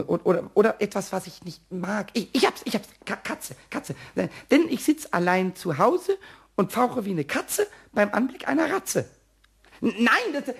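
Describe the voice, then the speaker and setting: High, husky little kobold, childish and defiant, excitable stammering, radio-play character. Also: male voice, full character voice. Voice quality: raspy, squeaky. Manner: excitable, stammering, repeating words. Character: small cheeky male kobold, childish, stubborn, defiant.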